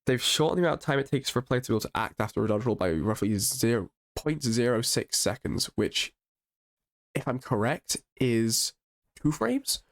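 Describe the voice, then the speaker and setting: A man with a croaky voice from being a little sick, casually reviewing game patch notes with upbeat enthusiasm and frequent restarts. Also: medium pitch. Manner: chatty and enthusiastic, with ums and restarts. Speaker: gaming channel host.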